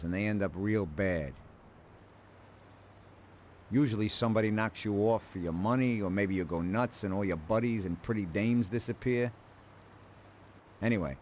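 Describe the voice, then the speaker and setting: A man playing a calm, fatherly mob adviser in a crime video game. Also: fairly low pitch, a little hiss or hum, close to an everyday voice. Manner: paternal, advising. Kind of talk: measured dramatic dialogue.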